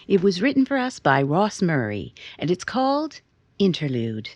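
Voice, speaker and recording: A woman's voice: on the low side, wildly swooping pitch, moderate pace, a little mellow. A woman playing a poised actress wife who co-hosts a 1950s radio drama, presenting in a scripted style.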